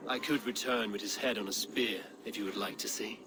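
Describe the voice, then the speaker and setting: A man playing a proud, battle-hardened Viking warrior in a game cutscene, speaking in measured, formal, poetic phrases. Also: on the low side, steady tone, background noise, close to an everyday voice. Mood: wry. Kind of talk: dramatic video game cutscene acting.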